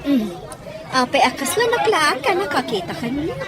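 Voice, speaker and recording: A woman's voice: high-pitched, very animated, moderate pace, over a noisy crowd. A woman with an Ilocano accent playing a flustered, evasive mother in an audio drama. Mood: curious. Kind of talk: radio drama acting.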